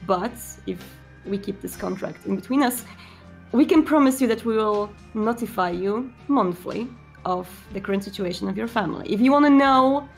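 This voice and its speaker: A woman voicing a male vampire prince in a tabletop game, formal, measured and persuasive. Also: medium pitch, animated, gravelly, faint music behind. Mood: firm, persuasive.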